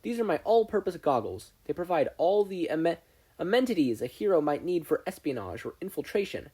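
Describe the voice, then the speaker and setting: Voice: medium pitch, very animated, a little fast, mellow, breathy edge, voice pushed up. A podfic reader voicing a shy, nervous teenage boy who speaks politely, hesitantly and earnestly. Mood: nervous, determined.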